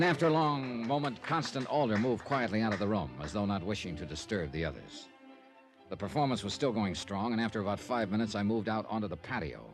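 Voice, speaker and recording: An actor playing a tough, wry American investigator, narrating drily in an old-time radio drama. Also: male voice, on the low side. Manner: dry, sardonic. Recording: vintage radio broadcast, music behind.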